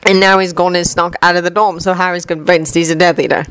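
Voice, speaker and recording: A woman's voice: fairly low pitch, little pitch movement, fast talker, fairly bright tone, a little hiss or hum, close to an everyday voice. A woman voicing a grumpy, suspicious teenage schoolboy wizard who grumbles doubtfully. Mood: grumbling.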